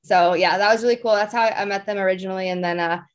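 A woman's voice: medium pitch, steady tone, quick pace. Young woman chatting informally. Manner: informal, rambling, frequent "like" and "you know". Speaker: interview guest. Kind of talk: casual conversational interview, personal storytelling.

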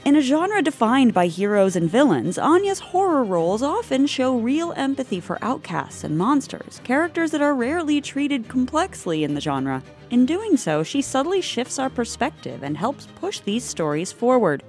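A woman giving scripted narration for a video essay, in a measured way. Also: sits high, sing-song, a little mellow, faint music behind.

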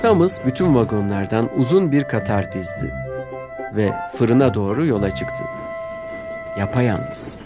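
A man narrating a scripted children's story in an expressive storytelling manner. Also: on the low side, measured pace. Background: music.

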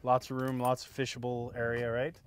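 A man's voice: medium pitch, fairly quick, clear, resonant tone. A man in his forties hosting a fishing show, chatting casually about his gear outdoors on a boat with a lapel mic. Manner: relaxed and chatty, tags lines with "right?".

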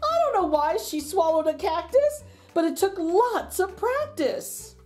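A woman's voice: very high voice, sing-song, darkish tone, soft background music. A middle-aged woman reading a picture book aloud to children, theatrical and rhythmic, drawing out the ends of lines. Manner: theatrical, rhythmic delivery with drawn-out line endings. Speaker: children's story reader and channel host.

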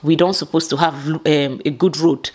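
A woman's voice: low voice, steady tone, normal speed, a little mellow, slightly rough. A Nigerian woman in her thirties from the Niger Delta, talking to camera in an impassioned, pleading vlog, sometimes slipping into Pidgin phrases. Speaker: vlogger. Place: small indoor room. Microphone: clip-on lapel mic.

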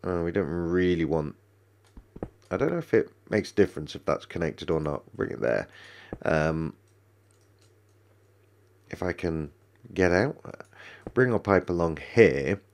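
A man's voice: sits low, expressive, measured pace, pauses often. A man with a cold and a stuffy nose, rambling through casual gameplay commentary with many ums and restarts. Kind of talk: casual let's-play commentary.

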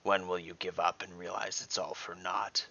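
Sinister dragon acted by a man, low gravelly hiss, slow, mocking, fantasy audio story, slightly muffled. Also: flat delivery, bright, forceful tone, full character voice. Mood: taunting. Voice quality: hissing, breathy whisper. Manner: slow, deliberate. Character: sinister taunting male dragon, menacing and mocking.

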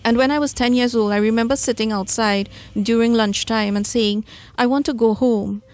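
Higher-pitched Trinidadian woman. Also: fairly even intonation, normal speed, a little mellow. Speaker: radio guest, spiritual medium. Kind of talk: conversational interview, personal storytelling. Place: radio studio. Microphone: studio microphone.

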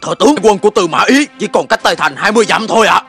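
An actor dubbing an anxious, deferential army officer in an animated historical drama, speaking formally and urgently. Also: male voice, high voice, racing, faint music behind. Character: anxious, deferential male army officer reporting to his lord.

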